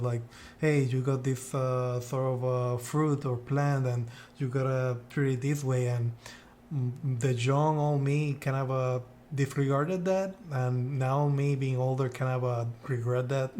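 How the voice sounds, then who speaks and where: A man's voice: medium pitch, darkish tone, slight background noise. A man from Puerto Rico with a Puerto Rican Spanish accent, co-hosting a podcast in casual, thoughtful, rambling conversation. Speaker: podcast co-host.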